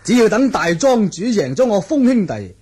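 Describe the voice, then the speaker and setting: A man's voice: sits high, sing-song, moderate pace, a little mellow, breathy edge, full character voice. A man acting a wily, ingratiating guest in a Cantonese radio drama. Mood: coaxing.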